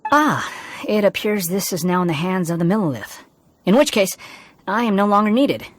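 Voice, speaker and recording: A man voicing a polite, enigmatic young vagrant in a video game, smooth and faintly amused. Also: high-pitched, animated, moderate pace, a little hiss or hum. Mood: courteous. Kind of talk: video game character acting. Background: background music.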